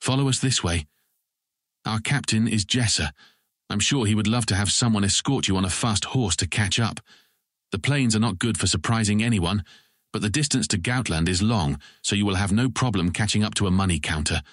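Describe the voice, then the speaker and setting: Low-voiced, forthright frontier ranger. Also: male voice, brisk, fairly bright tone, no echo, clean studio sound. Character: male frontier ranger, forthright, embittered, proud. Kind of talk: dramatized fantasy audiobook acting.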